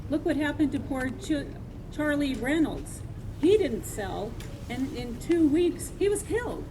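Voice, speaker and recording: Female reenactor as a high, pleading frontier wife, noisy outdoor take. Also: lively intonation, measured pace. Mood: anxious. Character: fearful frontier rancher's wife. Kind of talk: outdoor Wild West reenactment.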